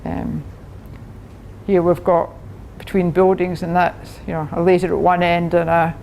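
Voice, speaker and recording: A woman's voice: lowish voice, little pitch movement, unhurried, gravelly, a little mellow, a little hiss or hum, poor audio. An older Scottish woman, a professor, giving a chatty technical talk to a club, laughing often and restarting her sentences. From Scotland. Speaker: guest speaker, professor.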